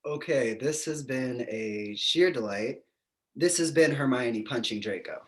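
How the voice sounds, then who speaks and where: An actor playing a quirky, quick-witted male love interest in a screenplay table read, glib and theatrical. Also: medium pitch, natural voice. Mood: flirty, earnest.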